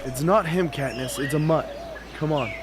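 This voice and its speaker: A man's voice: fairly high pitch, normal speed, lightly acted. Intense young fighter, played by a man. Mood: shaken. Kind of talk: amateur radio drama acting. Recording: noisy, outdoor bird ambience.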